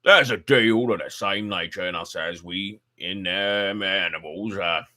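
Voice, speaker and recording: A man voicing a gruff, blunt elderly male zookeeper, loud and hearty and stumbling over dialect words. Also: sits low, measured pace. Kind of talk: amateur audiobook reading.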